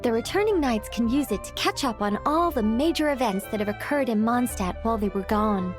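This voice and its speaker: Woman playing a high-voiced, composed mage. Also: animated, music in the background, lightly acted. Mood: matter-of-fact. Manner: measured, explanatory, formal. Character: composed, knowing female mage who explains magic. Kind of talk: video game voice acting.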